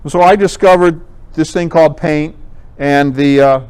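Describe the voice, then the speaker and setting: A man's voice: on the high side, fairly even intonation, moderate pace, darkish tone, smooth. A man giving an informal, explanatory slide presentation to a group in a conversational way. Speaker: presenter at an amateur radio club talk.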